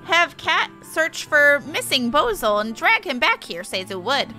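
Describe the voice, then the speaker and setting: A zealous bird-man played by a woman declaims in a high, bright voice. The delivery is earnest and proud, with odd inverted phrasing, like a quest giver in a fantasy game. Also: very animated, measured pace, soft background music, full character voice. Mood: concerned. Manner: inverted word order, declamatory, squawking.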